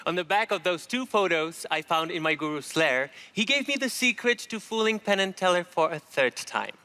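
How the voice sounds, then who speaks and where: A man's voice: high-pitched, animated, bright, forceful tone. A man performing as a stage magician, talking theatrical patter in a put-on Eastern European accent on a TV studio stage. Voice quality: theatrical, playful, quick. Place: large TV studio stage with audience.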